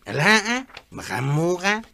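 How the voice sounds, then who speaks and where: An actor playing an exasperated, scolding nephew in a comedy radio drama. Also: male voice, sits high, very animated, unhurried, very noisy, full character voice. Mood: exasperated, resigned. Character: exasperated nephew of the captain relaying complaints.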